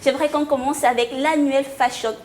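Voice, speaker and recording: High-voiced enthusiastic young woman presenter. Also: steady tone, a little fast, over a noisy crowd. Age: young adult. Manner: enthusiastic, emphatic repetition. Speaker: co-host presenting a fashion segment. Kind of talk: presenting studio chat.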